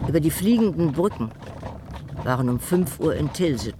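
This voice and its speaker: A man's voice: fairly high pitch, lively intonation, normal speed, background noise, natural voice. A man playing an observant, scornful court diarist, reading formally and expressively in a historical radio drama.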